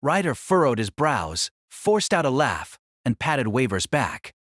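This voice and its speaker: A man's voice: mid-range voice, very animated, measured pace, a bit bright, no room sound, clean studio sound. A man reading dramatic scripted story narration for an audio drama.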